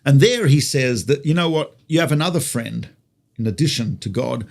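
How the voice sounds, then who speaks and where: A man's voice: medium pitch, expressive, moderate pace, gravelly, a little mellow. A man with an Australian accent, a rabbi teaching conversationally through stories and rhetorical questions. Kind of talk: conversational religious teaching with storytelling.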